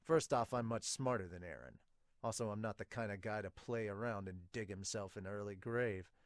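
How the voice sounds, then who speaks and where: Man playing a self-assured young soldier, lowish voice. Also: normal speed, dry recording, studio quality, lightly acted. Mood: confident.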